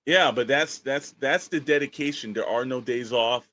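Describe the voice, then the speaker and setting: A man's voice: mid-range voice, moderate pace, fairly bright tone. An American man co-hosting a podcast in a casual, chatty style, speaking informally and repeating phrases for emphasis. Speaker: podcast co-host.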